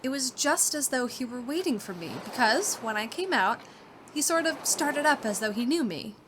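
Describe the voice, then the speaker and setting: High-voiced, gushing, romantic spinster. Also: female voice, expressive, normal speed, fairly bright tone. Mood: excited. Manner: breathless, gushing, girlish. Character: shy, excitable, romantic forty-year-old spinster. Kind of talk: 1940s radio acting, diary narration and dialogue. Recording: noisy old radio drama recording.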